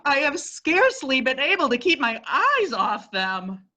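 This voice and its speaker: A woman playing an outspoken woman friend in an amateur stage reading, exclaiming and animated. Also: high voice, unhurried, dull audio, heavily acted. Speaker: reader in a play reading. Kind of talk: amateur readers' theatre acting.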